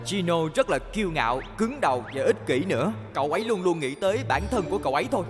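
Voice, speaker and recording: A man's voice: high-pitched, expressive, fast talker, over music. A man playing a proud, easily indignant boy racer in a cartoon dub, earnest and argumentative. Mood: defiant.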